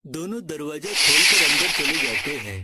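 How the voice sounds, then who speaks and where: A man's voice: a little high, sing-song, moderate pace, heavy background noise. A man reading scripted narration for a cartoon moral tale as its storyteller. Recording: music behind the voice.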